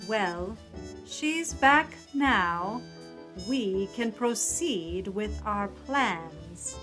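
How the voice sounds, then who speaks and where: Woman as a slow, cold scheming matriarch. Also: medium pitch, animated, fairly bright tone, faint music behind. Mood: coldly conspiratorial. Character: scheming society mother, cold puppet-master villainess. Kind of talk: 1930s radio suspense thriller acting.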